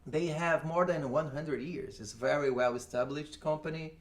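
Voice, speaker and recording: A man in his forties from Brazil with a Brazilian Portuguese accent, chatting as an interview guest in halting English full of restarts. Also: fairly high pitch. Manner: halting non-native English with many restarts. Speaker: guest, audio engineer. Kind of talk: casual interview chat.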